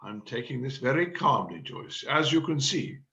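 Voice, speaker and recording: A man's voice: medium pitch, expressive, normal speed, bright, forceful tone, dull audio. A man playing an exasperated, theatrical amateur stage director in a read-through of a comedy play. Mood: forced calm.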